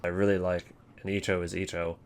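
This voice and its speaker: A man's voice: low-pitched, little pitch movement, a little fast. A man giving casual, unscripted video commentary, rambling and thinking aloud in a wry way. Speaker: video host ranking game voice lines.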